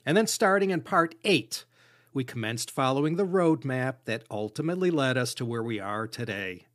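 A man from the United States hosting a history podcast, reading scripted narration in a measured storytelling way with dry asides. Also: mid-range voice, fairly bright tone, no room sound. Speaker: podcast host.

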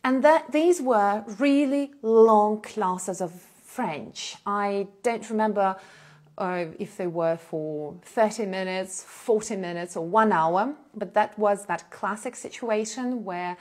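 A woman's voice: medium pitch, slow pace. Woman telling her story on a live stream. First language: Russian. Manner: animated, gestures a lot while talking, with frequent fillers and short laughs. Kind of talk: casual personal storytelling. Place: indoor room in front of a plain blue backdrop. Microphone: small clip-on lapel mic.

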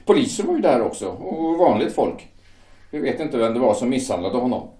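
A man's voice: mid-range voice, very animated, mellow, roomy sound, distant mic, close to an everyday voice. An actor playing a working man who defends joining the party to his brother, earnest and persuasive. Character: shipyard foreman turned party loyalist, brother. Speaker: Erich, older brother. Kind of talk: amateur audio drama acting.

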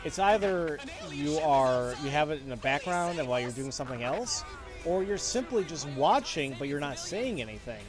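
A young man rambles through a game stream, restarting his sentences and bluntly airing opinions. His pitch swings widely as he talks. Game music plays underneath. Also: animated, moderate pace. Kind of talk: casual game commentary with chat replies. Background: game music and sound effects.

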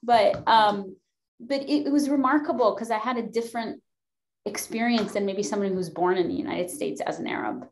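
A woman's voice: medium pitch, moderate pace, slight background noise. A woman in her thirties from Lebanon, a podcast guest talking passionately and emphatically on a video call from a room at home, swearing and laughing often. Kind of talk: passionate conversational discussion. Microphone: video call webcam.

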